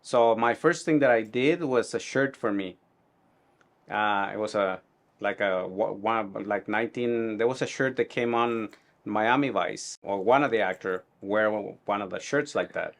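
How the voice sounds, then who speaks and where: A middle-aged man from Guatemala with a Guatemalan Spanish accent, answering interview questions in a halting, reflective way. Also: fairly low pitch, gravelly. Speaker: tailor being interviewed.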